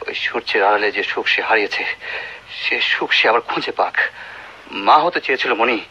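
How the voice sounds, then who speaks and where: A man's voice: on the low side, normal speed, sharp tone, breathy edge, exaggerated voice. A man acting in a melodramatic radio play as a principled, grieving doctor, emphatic and emotional, on a dull old recording. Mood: sorrowful. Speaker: radio drama actor.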